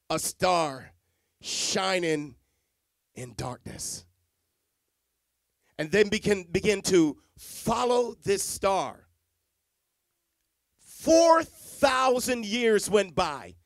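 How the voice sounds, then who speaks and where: Middle-aged preacher from Jamaica, high voice, dry close sound, handheld mic, dramatic hushed-to-loud delivery. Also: male voice, no echo. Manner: dramatic swings from hushed to loud, repetitive emphasis. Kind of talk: sermon. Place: church stage with Christmas decor, indoors. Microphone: handheld microphone.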